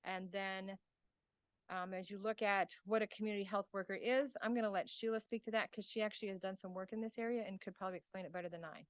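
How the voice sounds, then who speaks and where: A woman's voice: mid-range voice, fairly even intonation, fast, no room sound. A woman from the extension staff, explaining a grant proposal to a county committee in a conversational way and answering questions. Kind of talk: committee meeting presentation.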